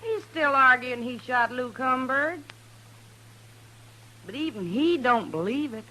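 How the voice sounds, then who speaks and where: An actress playing a stubborn, plain-spoken hillbilly wife with a rural American twang in a radio western. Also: female voice, high-pitched, animated, slow, bright, forceful tone, heavily acted, poor audio. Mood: matter-of-fact. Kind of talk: old-time radio western acting.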